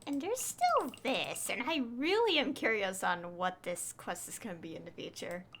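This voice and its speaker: A woman's voice: mid-range voice, sing-song, moderate pace, fairly bright tone, slight background noise. A young woman streaming a game, chatting casually in a rambling, hesitant way. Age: young adult. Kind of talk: casual livestream commentary.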